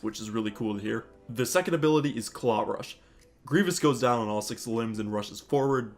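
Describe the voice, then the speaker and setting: A male gaming channel host talks through game news at a medium pitch, with guitar music playing behind him. He moves between reading out details and adding his own casual, matter-of-fact comments.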